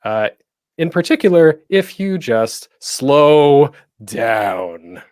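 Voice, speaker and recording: A man hosting an informal online tutorial, talking in a chatty, playful way with deliberate pauses for emphasis. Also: a little high, very animated, a little mellow, dry recording, studio quality. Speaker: panel host and podcasting instructor.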